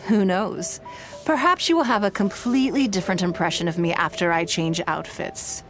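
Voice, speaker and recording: A woman voicing a poised, elegant noblewoman leader in a video game, composed, gracious and measured. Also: on the low side, soft background music, lightly acted. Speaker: game character.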